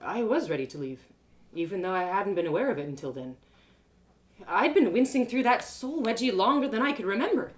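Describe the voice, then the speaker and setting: A woman storyteller narrating a fantasy tale in the first person, in an animated, dramatic reading style. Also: medium pitch, a little fast.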